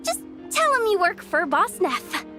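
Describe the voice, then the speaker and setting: A woman's voice: very high voice, sing-song, a little slow, exaggerated voice. A woman voicing a frazzled, eager young event coordinator in a video game, flustered and dramatic in manner. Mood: worried, warning. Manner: flustered, dramatic, rambling and hesitant. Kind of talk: English video game voice acting. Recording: background music under the voice.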